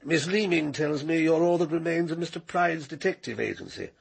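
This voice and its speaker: An actor playing a blunt, dry scientist and employer in a radio drama. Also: male voice, fairly high pitch, fairly quick, creaky, husky. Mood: dry, appraising. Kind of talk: British radio drama acting.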